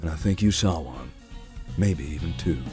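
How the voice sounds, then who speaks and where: An actor playing a dry, sardonic man who believes in ghosts, speaking deadpan in game dialogue. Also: extremely low pitch, normal speed, soft background music.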